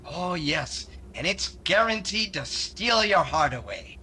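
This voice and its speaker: An actor playing a naive, cheerful, scatterbrained young sidekick in 1950s radio comedy, earnest and innocent. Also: male voice, sits high, very animated, slow, bright, forceful tone, a little hiss or hum, full character voice. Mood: eager, earnest.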